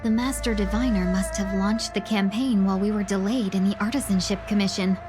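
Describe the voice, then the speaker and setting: Actress as formal young female guide, calm, knowing, medium pitch, game dialogue, light music. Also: fairly quick, close to an everyday voice. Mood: matter-of-fact. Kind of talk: video game dialogue acting. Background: soft game music.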